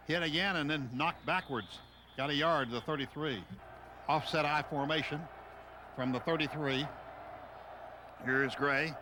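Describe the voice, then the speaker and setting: A man doing live play-by-play of a football game on the radio, describing the action in clipped bursts over a noisy outdoor stadium. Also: on the high side. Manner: clipped running description, excitable on big plays.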